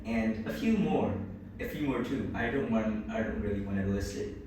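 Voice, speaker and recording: A Vietnamese-accented boy in his early teens works through speaking-test answers, pausing and restarting often. He sounds set back from the mic in a very echoey classroom. Steady background noise runs beneath him. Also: fairly low pitch, far from the mic. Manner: hesitant, with many restarts and fillers. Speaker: student taking a speaking test. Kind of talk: answering exam questions.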